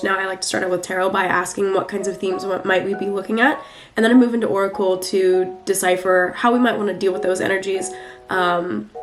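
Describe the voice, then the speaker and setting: Young woman, flu-congested and nasal, chatty tarot reader who rambles with lots of fillers. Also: mid-range voice. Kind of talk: casual weekly tarot reading.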